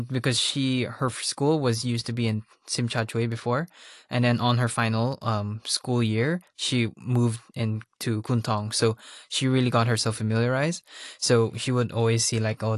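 A young man from Hong Kong answering interview questions in a relaxed, rambling way. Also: medium pitch, no room sound, clean studio sound. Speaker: interview guest.

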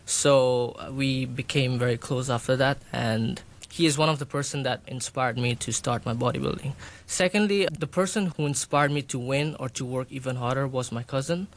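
A man's voice: mid-range voice, moderate pace. A man, a bodybuilder and personal trainer raised in Hong Kong, answering interview questions in a loose, rambling way with many fillers.

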